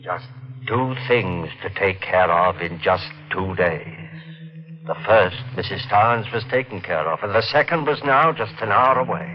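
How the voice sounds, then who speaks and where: An actor playing a greedy murderer who narrates his own crime in a 1950s radio drama, smooth and menacing. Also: on the low side, unhurried, natural voice. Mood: brooding narration. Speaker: narrator and lead. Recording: dull old radio recording with faint hiss.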